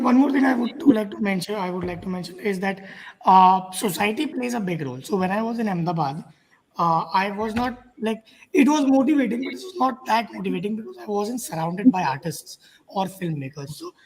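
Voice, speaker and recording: A young adult woman from India speaks casually as a learner on a panel. Her voice sits at a middle pitch, and she feels her way through each thought hesitantly. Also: expressive, brisk, poor audio. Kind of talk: casual personal account on a panel.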